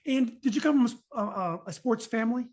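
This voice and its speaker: A man's voice: extremely high pitch, fast, a little mellow. A man hosting a podcast interview, asking long, rambling questions full of fillers and restarts. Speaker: podcast host.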